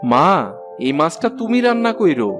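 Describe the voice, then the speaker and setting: A man's voice: sits high, big pitch swings, moderate pace, over music. A man voicing a demanding village farmer in a cartoon, with a rural eastern Bengali accent. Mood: pleased. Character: demanding village farmer, grown son and husband. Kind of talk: cartoon character acting.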